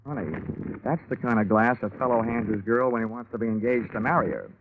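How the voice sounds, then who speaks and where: An American actor playing a wry, sardonic tough investigator in 1940s radio detective style, with deadpan quips. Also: male voice, medium pitch, quick pace, phone-quality sound, background noise, lightly acted. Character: wry, wisecracking tough male private investigator. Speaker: radio drama lead actor. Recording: band-limited 1940s radio recording with music.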